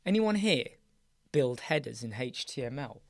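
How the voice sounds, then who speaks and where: A hoarse, croaky British man hosting a casual live chat, greeting viewers by name and trailing off into restarts. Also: medium pitch, big pitch swings, moderate pace, no room sound, studio quality. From United Kingdom. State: hoarse, croaky, clearing his throat. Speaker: live chat show host. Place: small dark room with soundproof panels.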